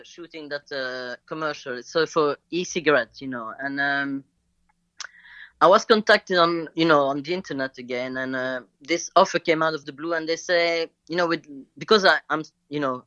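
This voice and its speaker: A man with a French accent tells a story over a video call that sounds a little dull and lo-fi. His tone is bright, and he pauses and hesitates often as he talks. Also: fairly high pitch, clean tone, no echo. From Belgium. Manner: hesitant, halting with many fillers. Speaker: guest actor. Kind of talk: casual interview storytelling. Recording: lo-fi call line.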